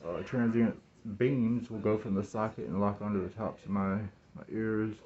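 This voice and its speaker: Low-voiced man, dull lo-fi phone recording. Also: fairly even intonation, darkish tone. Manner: halting, repeats words and phrases. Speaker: vlogger talking to camera. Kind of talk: rambling personal monologue. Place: small room indoors. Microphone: phone at arm's length, speaking through cloth mask.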